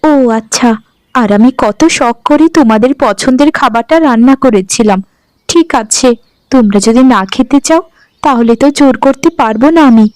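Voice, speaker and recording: A woman voicing a gentle, dutiful young bride in a fairy-tale cartoon, speaking meekly and politely. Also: a little high, normal speed, dry recording, lightly acted. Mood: resigned. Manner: meek, polite, pleading. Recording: background music under the voice.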